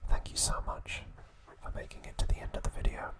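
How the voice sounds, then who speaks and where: A man hosting ASMR, narrating in a soft, breathy whisper with a gentle, soothing manner and short, separated phrases. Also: a little fast. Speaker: ASMR channel host.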